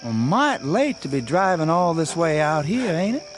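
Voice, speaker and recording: A man's voice: a little high, big pitch swings, moderate pace, over music. An actor playing a stubborn young country man in a radio drama, with a rural Southern US accent and a folksy, plain-spoken manner. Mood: wry, challenging. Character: defiant young country man suspected of being undead.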